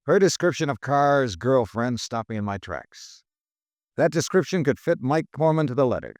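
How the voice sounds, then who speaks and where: An actor playing a hard-boiled insurance investigator who narrates and questions people in a dry, laconic, wry way, in a 1950s radio drama. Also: male voice, mid-range voice, expressive, moderate pace, a little mellow, no echo, close to an everyday voice. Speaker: detective narrator.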